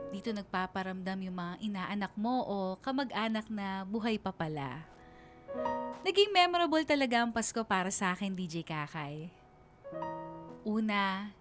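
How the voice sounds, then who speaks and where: Filipina radio storyteller over prominent music. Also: female voice, medium pitch. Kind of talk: dramatized first-person scripted narration. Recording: music playing under the voice.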